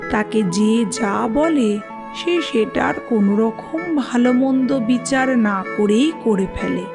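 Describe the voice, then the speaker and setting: A woman narrator reads a children's tale. She goes slowly, in a voice pitched a little high. Faint music runs underneath. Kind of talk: scripted children's story narration.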